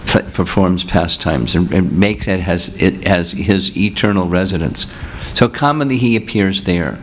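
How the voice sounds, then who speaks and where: Low-voiced man teaching a seminar. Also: moderate pace, slight background noise. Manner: patient, explaining with examples. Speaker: spiritual teacher. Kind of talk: seminar talk answering questions. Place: seminar room with audience.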